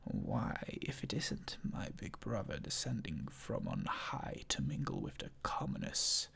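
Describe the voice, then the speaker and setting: A reader whispering the part of a sly, sarcastic male lion in a breathy, husky voice. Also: mid-range voice, wildly swooping pitch, slow, a bit bright, voice pushed down, heavily acted. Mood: sneering, sarcastic.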